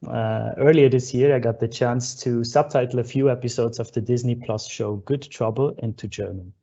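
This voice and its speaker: A man's voice: mid-range voice, fairly even intonation, moderate pace, gravelly, warm tone. A young Swiss man giving a friendly conference keynote over a video call, with plenty of uh fillers. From Switzerland. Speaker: keynote speaker. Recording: dull lo-fi online call audio.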